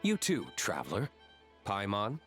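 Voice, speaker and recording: A cool, serious young nobleman for a video game, speaking low. He is courteous but restrained and terse, with faint music underneath. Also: wildly swooping pitch, measured pace, a bit bright, heavily acted. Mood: cool, measured.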